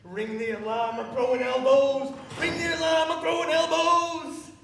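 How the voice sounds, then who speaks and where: A man's voice: very high voice, little pitch movement, moderate pace, creaky, fairly bright tone, exaggerated voice. A man playing a rowdy, flirtatious, dazed cowboy on stage, loose and slangy. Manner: loose, slangy, mixing in Spanish. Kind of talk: live stage acting. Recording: very echoey theatre, distant mic.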